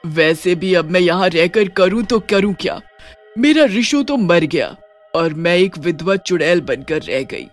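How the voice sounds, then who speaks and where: A woman playing a vengeful, grieving widowed witch in a horror story, speaking in dramatic, drawn-out vows. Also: on the low side, animated. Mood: mournful. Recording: music under the voice.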